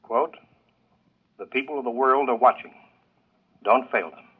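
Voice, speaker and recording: An actor playing a launch tower controller with clipped, official delivery, in a 1950s radio drama. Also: male voice, medium pitch, unhurried, narrow, tinny audio. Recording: husky telephone-thin radio sound.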